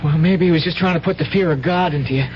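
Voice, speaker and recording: A man's voice: fairly high pitch, quick pace, natural voice. A man acts a worried teenage son who stumbles over his words and carries some resentment. It is seventies radio drama, with muffled broadcast sound and prominent music behind. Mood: resentful. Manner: hesitant, stammering. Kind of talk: 1970s radio drama acting. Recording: dull vintage radio broadcast, loud music.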